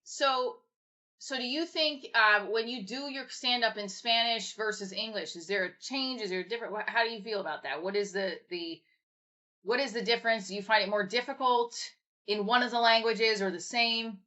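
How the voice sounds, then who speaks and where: A woman's voice: on the high side, moderate pace, bright, forceful tone. A woman hosting a casual livestream chat, asking loose, rambling questions with a self-deprecating streak. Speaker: livestream host. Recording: dull lo-fi stream audio.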